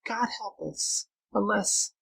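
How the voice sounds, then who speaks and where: A male narrator plays a young woman in an adventure storybook. The voice is lifted high and husky. She is frightened yet scheming, pleading her case with urgency. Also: takes it slowly, sharp tone, exaggerated voice, poor audio. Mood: fearful, insistent.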